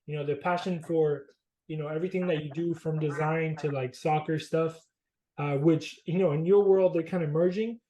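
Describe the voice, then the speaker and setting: Mellow-voiced young male podcast host. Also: a little high, steady tone, normal speed, poor audio. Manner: friendly, loose, filler-heavy questions. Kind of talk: casual interview chat.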